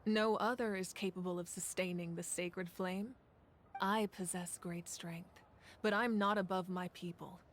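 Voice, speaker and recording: An actress voicing a proud, protective female ruler in a video game, speaking calmly, steadily and with resolve. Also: fairly low pitch, measured pace, a little hiss or hum, natural voice. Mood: resolute calm.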